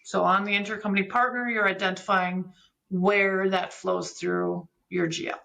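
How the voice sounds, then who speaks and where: A woman's voice: medium pitch, flat delivery, normal speed, vocal fry, fairly bright tone. A woman giving a conversational technical explanation as an expert guest, walking through things step by step with examples. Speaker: podcast guest, business software expert.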